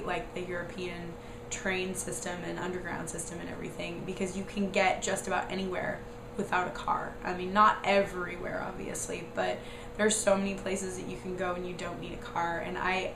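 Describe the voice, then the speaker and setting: A young American woman, hoarse from a cold, with a raspy, squeaky voice, casually rambling through a vlog with lots of "like" and "um". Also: level tone, moderate pace. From United States. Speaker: vlogger. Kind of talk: casual chatty explanation. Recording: echoey room with noise.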